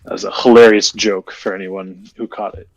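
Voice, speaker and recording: A man's voice: on the low side, level tone, normal speed, darkish tone, rough recording. A man chatting casually and joking with a friend while they play a card game on stream. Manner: joking, playful banter.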